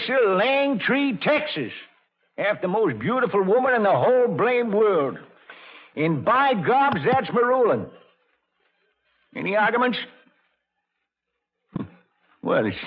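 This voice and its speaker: On a muffled vintage radio recording, an older frontier judge with a gravelly Western drawl. He is folksy and self-important, swinging his pitch widely as he hands down pronouncements like a saloon king. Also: full character voice. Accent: American Western drawl. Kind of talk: old-time radio drama acting.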